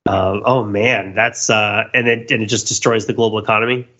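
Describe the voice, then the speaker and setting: A man in casual, joking analytical podcast chat, animated and riffing as he goes. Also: lowish voice, a little fast. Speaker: podcast panelist. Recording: dull, slightly lo-fi audio.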